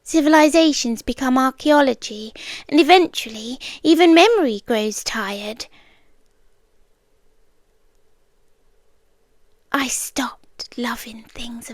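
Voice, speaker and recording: A British woman playing an ageless alien yandere girlfriend, obsessively devoted and eerily tender, in a soft, breathy, half-whispered ASMR roleplay. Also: on the high side, dry recording, clean studio sound, close to an everyday voice. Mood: wistful, obsessive. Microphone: close, dry microphone.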